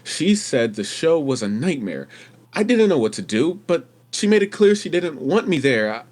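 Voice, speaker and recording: A man's voice: fairly high pitch, sing-song, normal speed, a little mellow. An actor playing an earnest, anxious young man in a radio play, hesitant and easily flustered. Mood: anguished, confused. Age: young adult.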